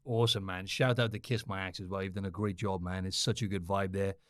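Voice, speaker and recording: A man's voice: low voice, fairly even intonation, fast, a bit bright, no echo, studio quality. A man with a Welsh accent hosting a podcast, upbeat and chummy with his guest. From Cardiff, South Wales. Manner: upbeat, chummy, says man and right a lot. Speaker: podcast host. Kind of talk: casual podcast interview.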